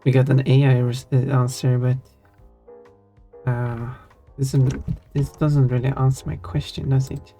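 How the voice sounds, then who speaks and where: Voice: medium pitch, level tone, measured pace, pauses often, dark tone. A live streamer chatting casually while drawing, rambling and correcting themself with small giggles. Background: faint background music.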